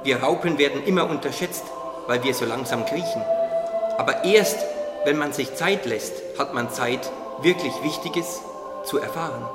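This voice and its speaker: A man voicing a wise, gently teasing caterpillar in a radio play, explaining things earnestly. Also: medium pitch, moderate pace, close to an everyday voice. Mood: earnest, reassuring. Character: wise, teasing caterpillar confidant, calmly preaching hope. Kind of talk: German religious audio drama acting. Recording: echoey reverberant hall, music underneath.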